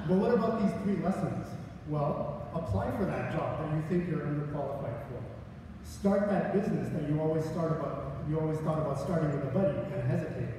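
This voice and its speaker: Man, valedictorian speech, earnest with jokes, slightly high voice, long hall reverb, distant mic, audible room noise. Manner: earnest, with humorous asides. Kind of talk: graduation speech. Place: large hall. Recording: echoey hall from far off.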